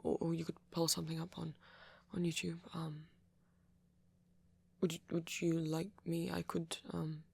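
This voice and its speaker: A man playing a shy, apologetic young vampire in an ASMR roleplay, with a posh British accent and a hesitant, stammering, soft-spoken way of talking. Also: fairly high pitch, gravelly, slightly rough, exaggerated voice. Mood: apologetic, nervous. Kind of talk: intimate ASMR roleplay audio.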